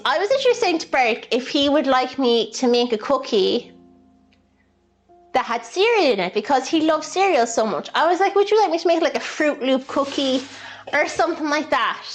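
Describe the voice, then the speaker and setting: Woman, Irish accent, high-ish voice, chatty, playful, casual live-stream delivery, music in the background. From Ireland. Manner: chatty, playful, reads out viewer messages. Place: indoors, in a kitchen.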